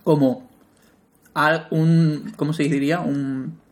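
A young man co-hosting a casual podcast, joking and relaxed, talking between bites of cereal. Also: fairly high pitch, slow. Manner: laid-back, jokey, eating cereal while talking. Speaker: podcast co-host.

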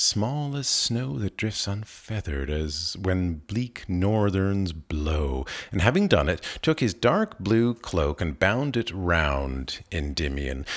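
A man giving a measured, expressive, dramatic reading of old narrative verse. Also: sits low. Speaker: poetry reader.

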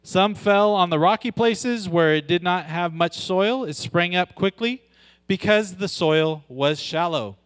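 High-voiced, unhurried male preacher. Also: smooth, dry recording. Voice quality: loud voice. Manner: conversational preaching, joking asides, slows down to stress scripture. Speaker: pastor. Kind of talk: sermon.

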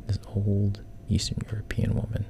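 A man casually retelling a story in a hushed, halting way, often dropping to a whisper, with a gravelly, breathy voice. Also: lowish voice, monotone.

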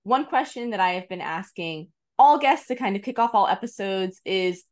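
A woman with a mid-range voice hosting an interview podcast. She asks a guest friendly, clearly structured questions. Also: mid-range voice, expressive, moderate pace. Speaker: podcast host.

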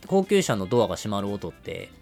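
Male co-host, lowish lively voice, casual example-driven explainer, music behind. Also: brisk, vocal fry. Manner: explains by everyday examples, colloquial. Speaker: podcast co-host.

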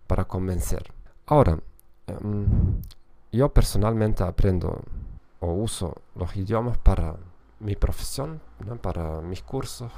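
Man, gravelly low voice, hesitant podcast talk, frequent fillers and self-corrections. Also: a little mellow. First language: German. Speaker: podcast host and language teacher. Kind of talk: casual solo podcast talk.

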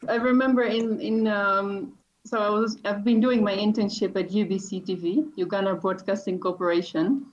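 A woman's voice: medium pitch, steady tone, normal speed. A young Dutch woman with a Dutch accent, chatting casually as a podcast guest, laughing often and restarting her sentences. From Netherlands. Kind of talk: casual interview chat. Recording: dull lo-fi audio.

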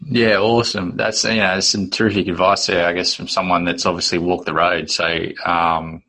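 A man's voice: sits low, level tone, moderate pace, pushed and bright. A man hosting a podcast interview, chatty and encouraging toward his guest. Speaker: podcast host. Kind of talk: conversational interview.

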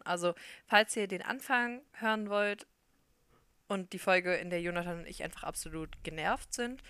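Young woman, chatty podcast co-host, lowish voice, dry sound. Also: fairly bright tone, no echo. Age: young adult. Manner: chatty, quick, laughs often. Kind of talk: casual chat.

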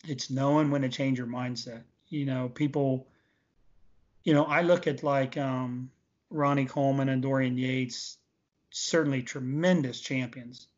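Middle-aged man, emphatic, dull lo-fi sound. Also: mid-range voice. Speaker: interview guest, veteran bodybuilder. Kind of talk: casual interview talk. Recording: dull, lo-fi audio.

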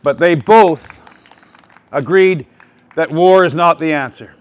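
Man, slightly high pitch, loud and emphatic, public speech, rambling delivery, live audience. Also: slow pace. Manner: rambling, with frequent restarts. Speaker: town hall speaker.

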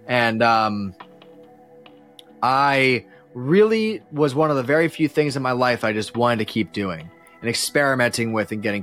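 A man speaks as a guest on a casual podcast at an average pitch. He rambles and halts, often starting his sentences over. Music plays in the background. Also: fairly bright tone. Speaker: podcast guest, audio drama creator and musician.

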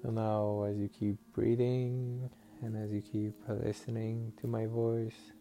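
A man guiding a listener into hypnotic trance in a slow, soothing, suggestive way, over faint music. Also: on the low side, little pitch movement, mellow. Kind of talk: guided hypnosis session.

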